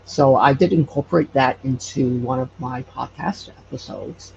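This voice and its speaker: A man telling personal stories conversationally as a podcast guest, hesitant and often pausing mid-phrase. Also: medium pitch, creaky. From Hawaii. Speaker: podcast guest, paranormal storyteller and investigator. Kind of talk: conversational personal storytelling in an interview. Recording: muffled lo-fi with faint background noise.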